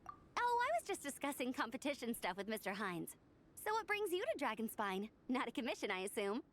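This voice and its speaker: Friendly young knightly scout: high, chipper, earnest, game dialogue. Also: female voice, sing-song, fairly quick, fairly bright tone. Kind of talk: video game voice acting.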